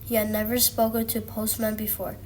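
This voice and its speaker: A woman's voice: mid-range voice, completely flat delivery, a little fast, a little mellow. A woman reading a children's storybook aloud, now and then stumbling over and repeating words. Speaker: student reader. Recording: music playing behind the voice. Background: music.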